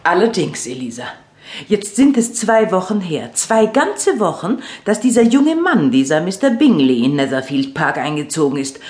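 An actress playing an excitable, theatrical middle-aged mother bent on marrying off her daughters, in a radio play. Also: on the low side, normal speed, reverberant. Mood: indignant, lamenting. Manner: effusive, theatrical exclamations and scolding. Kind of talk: German radio play acting.

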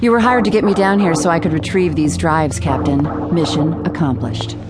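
A woman's voice: low voice, moderate pace, lightly acted. A woman playing a cold, officious corporate mission leader in a science fiction audio drama, clipped and detached. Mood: cold, dismissive. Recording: loud soundtrack under the voice. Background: loud music and sound effects.

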